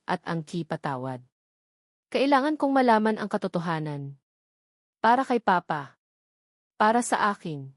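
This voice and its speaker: A woman's voice: medium pitch, dry recording. Filipino woman narrating a drama, dry sound. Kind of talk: scripted dramatic first-person story narration.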